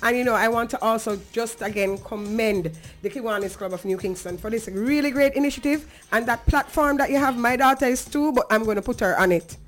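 A woman's voice: on the high side, brisk, few pauses. A woman with a Jamaican accent talking earnestly and conversationally as a talk show host, emphatic and now and then slipping into patois.

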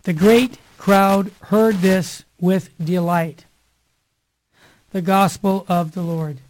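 Loud-voiced man hosting live radio. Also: sits high, fairly even intonation, slight background noise, low-quality recording. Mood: solemn reading. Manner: loud voice, reads scripture slowly and solemnly. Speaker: radio show host.

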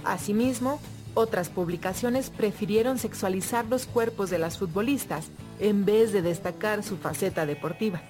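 A woman's voice: mid-range voice, moderate pace, a little mellow. A woman reading scripted historical narration as a documentary narrator. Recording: music bed behind voice.

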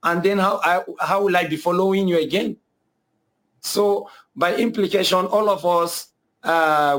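A man's voice: on the high side, a bit bright. A young man with a Nigerian accent telling his own story earnestly, hesitating and repeating himself often. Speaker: guest telling his conversion testimony. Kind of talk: personal testimony.

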